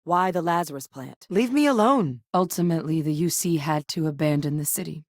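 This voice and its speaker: Grounded woman scientist and field veteran, game companion, low voice, measured matter-of-fact delivery, dry studio sound. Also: no echo. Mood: steady. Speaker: video game companion character. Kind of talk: video game voice lines. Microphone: studio microphone.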